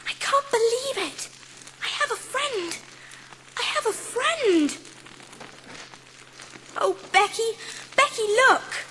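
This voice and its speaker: A dreamy, kind little girl in an audiobook drama. The voice is tiny and very high, slow with breathless wonder, over clearly audible rustling noise. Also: lively intonation, pushed and bright, exaggerated voice. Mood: excited, overjoyed. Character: excited, dreamy, kind young girl in poverty.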